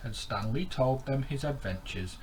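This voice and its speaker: A man reading a children's bedtime story aloud in an expressive, theatrical narrating style. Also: mid-range voice, normal speed, a little hiss or hum, rough recording.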